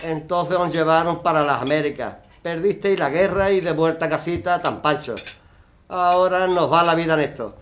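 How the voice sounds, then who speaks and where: A man's voice: a little high, normal speed, slight background noise, natural voice. A man playing a scornful, preachy husband in an amateur radio drama. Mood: indignant. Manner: indignant, preachy. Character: reactionary middle-aged husband, scornful.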